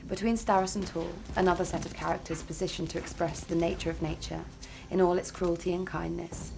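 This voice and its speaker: A woman narrates a scripted video essay in a fairly low voice with noticeable vocal fry. She reads as a narrator, with clear music playing behind her. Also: fairly even intonation, fairly quick, low-quality recording.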